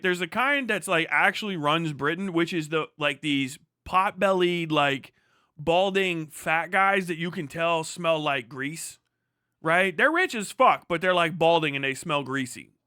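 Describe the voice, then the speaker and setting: A man with a fairly high, bright voice chats off the cuff as a livestream host. He swears freely and rambles into joking, exaggerated rants. The sound is close and dry. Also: animated, unhurried, no room sound. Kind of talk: casual rambling livestream chat.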